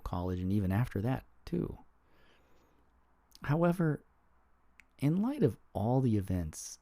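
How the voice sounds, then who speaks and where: A man with a low, deep voice hosts a podcast and talks reflectively. His tone is very dark and mellow. He weighs his words and sometimes stops mid-thought to find the right phrase. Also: no room sound. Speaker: podcast host.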